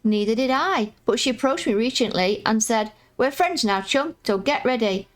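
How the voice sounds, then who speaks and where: A woman's voice: fairly high pitch, lively intonation, moderate pace, close to an everyday voice. A woman reading as a prim, proper storybook girl. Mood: polite. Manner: proper, formal phrasing. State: croaky voice.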